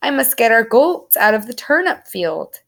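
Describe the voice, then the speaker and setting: A woman's voice: on the high side, sing-song, moderate pace, vocal fry, fairly bright tone, heavily acted. A woman voicing a poor young boy who herds goats, earnest and pleading, in a storybook reading. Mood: worried.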